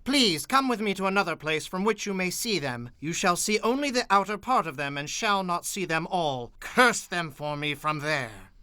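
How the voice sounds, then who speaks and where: A bright, forceful, agitated king. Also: sits high, lively intonation, normal speed, few pauses, no echo. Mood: urging. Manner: urgent, forceful, complaining. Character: agitated, imperious king demanding curses on his enemies. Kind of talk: radio theatre-style Bible dramatization.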